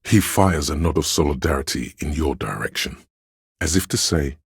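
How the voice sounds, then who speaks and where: Grave omniscient inner-voice narrator for a detective game: extremely low, heavily rasped, measured and literary, close dry sound. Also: male voice, animated, no echo, full character voice. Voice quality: very deep gravelly husky rasp.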